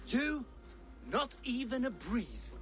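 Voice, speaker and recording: An actor playing a boastful, brutish Norse warrior in a video game, with a taunting, declamatory delivery. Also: male voice, very high voice, big pitch swings, slow pace, a bit bright, faint music behind, exaggerated voice. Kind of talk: video game cutscene acting.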